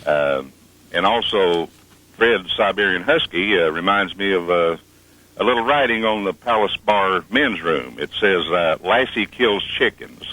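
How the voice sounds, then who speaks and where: A man talking informally on the radio in a hesitant, halting, drawn-out way. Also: low voice, sharp tone, no room sound, low-quality recording.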